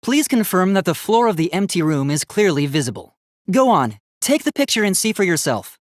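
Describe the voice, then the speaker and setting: A man's voice: high-pitched, big pitch swings, a little fast, dry recording, clean studio sound. A man voicing an earnest, polite young robot student in a video game, with formal, precise, logical speech that gets easily flustered.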